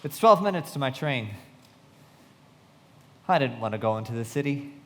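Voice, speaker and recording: An actor playing a reflective, loyal young narrator in a live radio play, with measured dramatic delivery in a reverberant hall. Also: male voice, medium pitch, expressive, natural voice. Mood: reflective, sombre.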